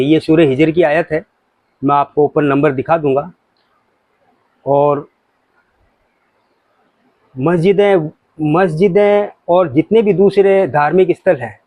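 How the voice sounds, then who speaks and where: A man's voice: on the high side, dry recording, dull audio. A man giving an informal religious talk to viewers, explaining points in an explanatory way with examples. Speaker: religious commentator.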